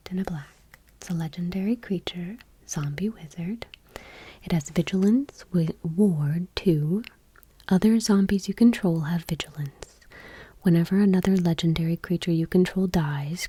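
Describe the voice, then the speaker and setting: A woman speaking in a soft, breathy near-whisper in an ASMR style, gentle and unhurried, close to the microphone. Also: fairly low pitch. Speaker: ASMR channel host. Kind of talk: soft-spoken ASMR, reading trading cards aloud.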